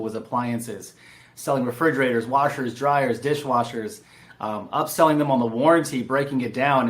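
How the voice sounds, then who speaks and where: A man's voice: mid-range voice, fairly bright tone. Casual man. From San Diego, California. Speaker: podcast guest, real estate entrepreneur. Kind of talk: casual interview storytelling.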